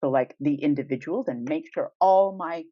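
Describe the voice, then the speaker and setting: Mid-pitched podcast host chatting casually, rambles through questions, dry and a bit dull sounding. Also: normal speed, no room sound. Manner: thinks aloud in rambling questions, chuckling lightly.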